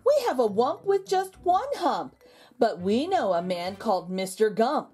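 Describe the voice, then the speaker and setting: A woman reading a picture book aloud to young children, with expressive, exaggerated intonation and drawn-out words. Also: a little high, slightly rough. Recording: background music under the voice. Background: music.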